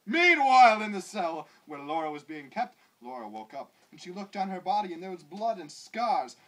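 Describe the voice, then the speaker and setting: A woman's voice: fairly low pitch, sing-song, normal speed, fairly bright tone, rough recording. A woman giving an over-dramatic comic reading of bad fan fiction, with exaggerated emphasis that often verges on laughter.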